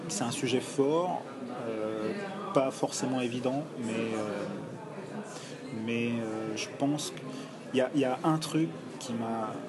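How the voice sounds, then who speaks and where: Hesitant man amid loud crowd chatter. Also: mid-range voice. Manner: hesitant, searching for words, measured opinions. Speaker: podcast guest. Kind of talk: casual chat about TV series. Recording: loud crowd noise around the voice.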